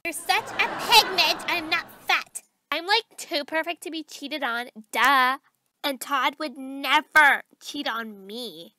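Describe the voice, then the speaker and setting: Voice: sits high, lively intonation, takes it slowly, pushed and bright, no room sound, heavily acted. A performer with a female-sounding voice playing a bossy, vain valley-girl teenager, whiny and dramatic, drawing out words. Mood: haughty, offended.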